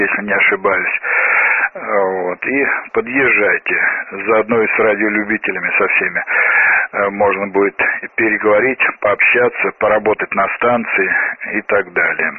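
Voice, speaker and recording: A man hosting an amateur radio round table in casual, courteous, slightly hesitant talk, his husky voice affected by a cold. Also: lowish voice. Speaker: amateur radio net host. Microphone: amateur radio transmitter microphone. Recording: phone-like narrowband radio link, roomy echo.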